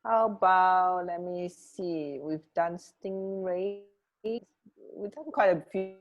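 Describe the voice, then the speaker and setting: A woman's voice: lowish voice, takes it slowly, lo-fi sound. A woman co-hosting a radio show in casual, unscripted chat, musing and often trailing off mid-thought. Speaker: radio co-host.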